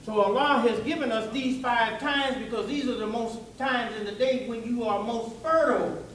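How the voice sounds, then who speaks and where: A man preaching an animated, folksy sermon to listeners, tossing out rhetorical questions and colloquial asides. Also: extremely high pitch, moderate pace, slight background noise. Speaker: preacher giving a public religious address. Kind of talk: animated sermon with call-and-response to a live audience. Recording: echoey hall, distant microphone.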